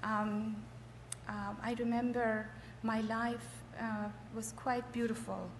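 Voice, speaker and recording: A middle-aged woman from Afghanistan giving a personal testimony in short phrases, hesitant and often pausing. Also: a little high, flat delivery. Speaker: church member giving testimony. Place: large echoey hall. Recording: echoey hall with faint noise.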